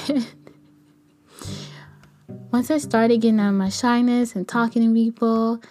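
A young woman with a light, soft voice talking casually in a rambling, candid personal podcast monologue. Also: fairly high pitch, steady tone, measured pace, faint music behind. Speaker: podcast host; kindergarten teacher.

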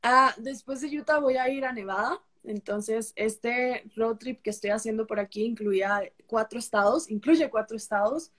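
A woman's voice: a little high, normal speed, hardly any pauses, a bit bright. A young woman from Peru with a mixed Peruvian and Costa Rican accent, a travel creator chatting as a guest on a live stream, full of fillers and restarts. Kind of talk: casual live-stream chat. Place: traveling on a road trip, remote connection.

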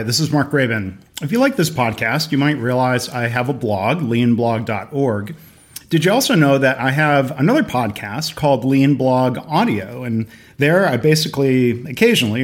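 A man hosting a podcast interview and reading his own promos, relaxed, with frequent ums and uhs. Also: mid-range voice. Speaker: podcast host.

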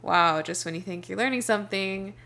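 A woman's voice: medium pitch, fairly quick, vocal fry, fairly bright tone. A woman hosts a podcast, chatting casually and self-deprecatingly, often trailing off and restarting. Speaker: podcast host. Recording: faint background noise.

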